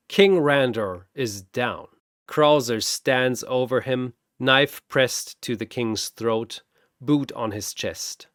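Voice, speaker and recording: Slow, theatrical male battle narrator. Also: mid-range voice, clean tone, dry recording, clean studio sound. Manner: theatrical, deliberate, wry. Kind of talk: dramatic scripted battle narration.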